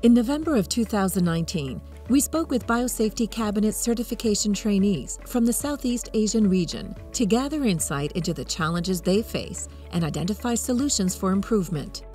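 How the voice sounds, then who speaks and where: Female narrator, mid-pitched, scripted and formal, soft music behind. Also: lively intonation, fairly quick, few pauses.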